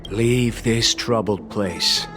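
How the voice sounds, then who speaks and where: A man's voice: on the low side, drawn-out words, music in the background. A man playing a proud, loyal commission official in a game, speaking formally in a pointed, admonishing way. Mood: pointed, insistent. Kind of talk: English game voice acting, formal dialogue.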